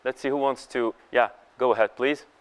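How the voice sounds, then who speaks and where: Halting male host with a clear voice. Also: medium pitch, steady tone. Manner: hesitant, halting phrasing. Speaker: host of a panel show. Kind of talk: moderating a studio discussion. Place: TV studio.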